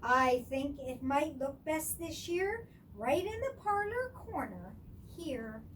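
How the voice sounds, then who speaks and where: An excitable storybook gentleman, acted out by a woman, exclaims grandly in a very high voice. His delivery swoops with drama, and the recording is distant from the mic. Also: takes it slowly, heavily acted. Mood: eager, delighted. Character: excitable well-off gentleman delighting in Christmas trees. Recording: distant microphone with clear background noise.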